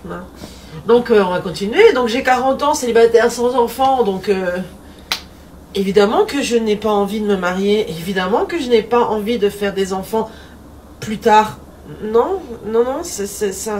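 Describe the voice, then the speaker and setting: A woman's voice: mid-range voice, distant mic, slight background noise. A woman in her forties talking in a playful, chatty way, repeating a refrain and laughing often. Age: 40s. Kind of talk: casual monologue.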